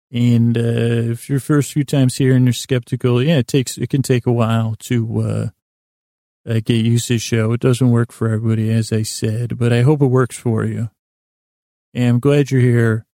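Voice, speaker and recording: Very creaky, mellow-voiced man podcasting. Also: medium pitch, no room sound, clean studio sound. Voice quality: gravelly, creaky. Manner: hesitant, halting, meandering with false starts. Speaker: podcast host. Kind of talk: rambling, unscripted podcast intro monologue.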